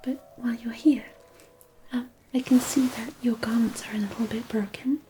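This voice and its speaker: A woman playing a kindly, attentive, gently playful fairy helper in a fantasy ASMR roleplay, soothing in a breathy near-whisper. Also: on the high side, steady tone, normal speed, soft background music, close to an everyday voice. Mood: soothing concern.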